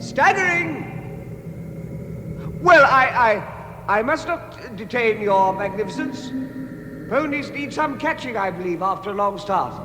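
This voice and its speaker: An actor playing a small, nervous but cheeky hobbit burglar in a vintage radio drama, stammering and excitable. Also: male voice, sits high, a little slow, pauses often. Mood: nervous flattery. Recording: reverberant hall, noisy vintage radio recording.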